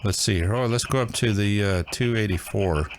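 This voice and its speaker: A man with a low-pitched voice presents a casual, hands-on tutorial. He talks informally, thinking aloud as he demonstrates, like a host showing viewers around a device. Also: normal speed, noisy background. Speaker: host of a radio how-to channel.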